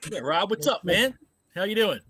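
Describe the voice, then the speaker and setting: Bright, friendly Philly-accented man. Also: high-pitched, lively intonation. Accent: Philadelphia. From near Philadelphia. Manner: friendly, agreeable, quick affirmations. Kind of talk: casual panel chat.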